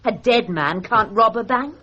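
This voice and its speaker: Brash, high-pitched woman. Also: animated, measured pace, fairly bright tone, lo-fi sound. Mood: sardonic. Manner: exclamatory, cheeky. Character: brash, outspoken, irreverent woman. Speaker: actor in a radio drama. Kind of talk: BBC radio drama acting.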